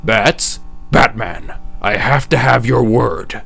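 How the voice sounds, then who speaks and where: A man acting a principled, cautious police captain in a comic dub, measured and earnest. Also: medium pitch, gravelly, a bit bright, full character voice.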